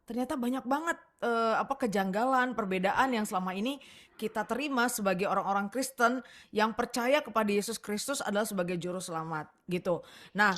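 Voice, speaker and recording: An Indonesian woman hosting a casual chat show in a chatty, expressive, giggly manner. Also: mid-range voice, fairly quick. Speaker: talk show host.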